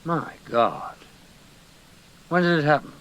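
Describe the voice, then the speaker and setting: An actor playing a brisk, weary British intelligence officer in a radio drama, with terse, clipped questioning. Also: male voice, a little high, very animated, fairly bright tone, exaggerated voice. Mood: startled, probing. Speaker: investigator and first-person narrator.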